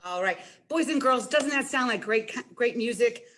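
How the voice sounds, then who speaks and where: A woman's voice: fairly high pitch, lively intonation, moderate pace, a bit bright, soft background music. A woman presenting a storytime as a children's librarian, talking directly to young listeners in an encouraging way and reading a picture book aloud.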